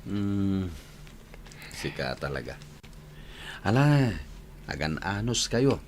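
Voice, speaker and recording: A man's voice: lowish voice, big pitch swings, takes it slowly, a little hiss or hum. An actor playing a teasing male workmate who gives friendly advice in a radio drama, with an Ilocano accent. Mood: advising, friendly. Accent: Northern Philippine Ilocano.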